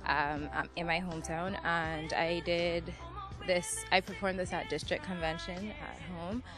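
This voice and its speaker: A woman dancer answers backstage questions in a slightly low voice, pausing hesitantly as she goes. Music plays clearly behind her. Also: fairly even intonation. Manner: hesitant, with light laughs. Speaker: dancer in talent show. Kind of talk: backstage interview. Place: convention backdrop, handheld mic.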